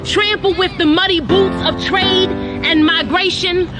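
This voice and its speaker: High, slow-spoken woman reciting poetry. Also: fairly even intonation. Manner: rhythmic, emphatic delivery. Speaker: spoken word poet. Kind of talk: spoken word poetry performance. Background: loud music.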